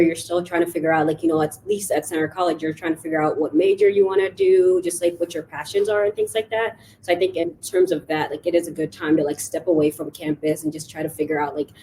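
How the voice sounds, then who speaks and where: Soft-spoken young woman, mellow lower voice. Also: fairly even intonation, fast, few pauses, slight background noise, low-quality recording. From Kenya. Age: young adult. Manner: soft-spoken, heavy use of like. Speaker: student panelist. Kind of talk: panel answers.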